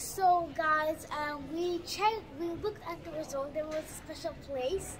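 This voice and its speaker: A woman's voice: high voice, unhurried, loud music behind the voice, poor audio. A nine-year-old girl chatting casually in a vlog as a young DJ. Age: child, nine years old. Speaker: young DJ and vlogger.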